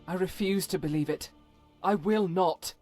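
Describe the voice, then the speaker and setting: A woman's voice: lowish voice, moderate pace, darkish tone, dry recording, faint music behind, lightly acted. A woman voicing a devout, stern holy warrior who carries private doubt, speaking solemnly and formally, as in a fantasy game. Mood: shaken. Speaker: voiced follower character in a fantasy game mod. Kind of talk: scripted dramatic game dialogue.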